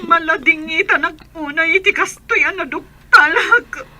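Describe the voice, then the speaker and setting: Woman acting very high, bright-voiced, reproachful distraught lover. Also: unhurried, exaggerated voice. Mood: tearful reproach. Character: distraught, accusing woman infected by her former lover. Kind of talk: dramatized story acting.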